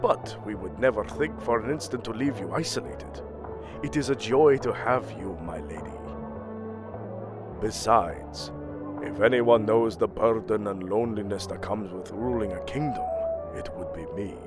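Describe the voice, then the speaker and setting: A man's voice: fairly low pitch, normal speed, lightly acted. An actor playing a proud, aging king of the Norse gods in a fantasy audio drama, grandiose and commanding. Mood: magnanimous. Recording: music under the voice.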